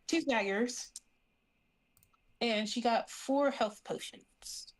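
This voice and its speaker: A woman's voice: fairly high pitch, slow pace. Casual woman player in a tabletop game.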